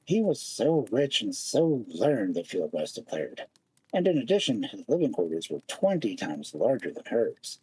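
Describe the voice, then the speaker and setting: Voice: mid-range voice, big pitch swings, normal speed, darkish tone, breathy edge, no room sound, poor audio. A storyteller narrating a fairy tale expressively, with dramatic, lingering phrasing.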